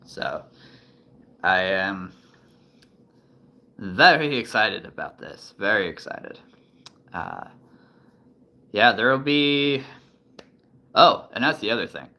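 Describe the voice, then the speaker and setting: A man's voice: fairly low pitch, very animated, takes it slowly, long pauses, bright, forceful tone. A man in his thirties chatting casually to the camera, thinking aloud with many ums and restarts. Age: 30s. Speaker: vlogger.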